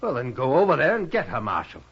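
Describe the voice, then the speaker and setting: A man's voice: medium pitch, wildly swooping pitch, fast talker, husky, heavily acted. A man with an American accent playing a haughty, intolerant Eastern husband in a radio western, with a stiff, pompous manner. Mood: indignant. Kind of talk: 1950s radio western acting. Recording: muffled vintage radio recording.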